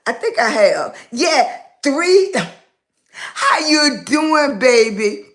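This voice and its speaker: A woman's voice: high-pitched, sing-song. A woman from Virginia with a Southern accent, chatting live with her viewers, greeting them by name and calling them baby. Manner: warm, affectionate, calls everyone baby, drawn-out words. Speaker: live streamer. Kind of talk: casual live-stream chat greeting viewers.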